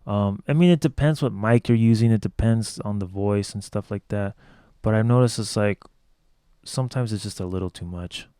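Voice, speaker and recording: A man with a lower tenor voice casually explaining how to finish a song, talking loosely with lots of "like" and "you know.". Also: normal speed, warm tone, smooth, no echo, clean studio sound. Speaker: music production tutor. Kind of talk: casual tutorial walkthrough.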